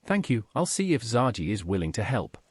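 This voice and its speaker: A man's synthetic voice playing a polite, plain-spoken adventurer in a fantasy game, with a husky tone. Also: on the low side, sing-song, a little fast.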